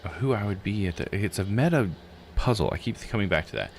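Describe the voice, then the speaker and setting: Low-voiced man musing through a game. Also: animated, quick pace, creaky, a little hiss or hum. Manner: musing, self-questioning. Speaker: live game streamer. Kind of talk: casual live gameplay commentary, thinking aloud.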